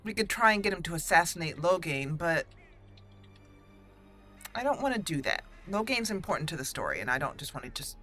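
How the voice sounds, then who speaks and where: A woman hosting a roleplay let's play, voicing dialogue deliberately and theatrically and adding her own commentary. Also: fairly low pitch, big pitch swings, bright, forceful tone, faint music behind. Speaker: gaming channel host.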